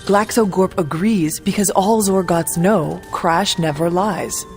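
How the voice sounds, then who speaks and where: A man's voice: high voice, slow, gravelly, soft background music, full character voice. A man acting as an earnest, literal-minded young hero in a video game cutscene, with precise, formal speech.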